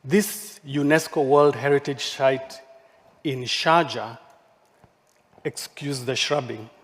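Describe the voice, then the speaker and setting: A man who speaks English as his second language, giving a measured, poetic keynote lecture with deliberate rhetorical questions. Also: medium pitch, steady tone. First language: not English. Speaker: architect giving a keynote talk. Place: large hall at a forum. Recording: long hall reverb, stage mic.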